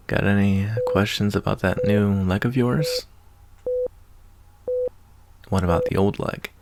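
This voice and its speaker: A man playing a caring, folksy wasteland doctor in an ASMR roleplay, talking in an unhurried, reassuring, slightly hesitant way. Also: low voice, vocal fry, very noisy. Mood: friendly, wry. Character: laid-back, fatherly wasteland doctor, gruff but caring adult man. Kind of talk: ASMR roleplay, softly acted monologue.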